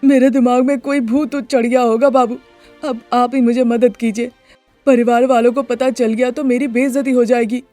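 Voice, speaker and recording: A woman's voice: fairly high pitch, level tone, moderate pace, dark tone, natural voice. A woman voicing an aggrieved man in a story, complaining and pleading for help, with music behind. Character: aggrieved male lender pleading for his money.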